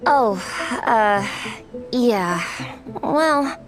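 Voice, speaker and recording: High-voiced, earnest teenage girl. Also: expressive, drawn-out words. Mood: sheepish. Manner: earnest, hesitant at times. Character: determined, slightly unsure teenage girl training for race. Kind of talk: video game character acting. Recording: game music behind.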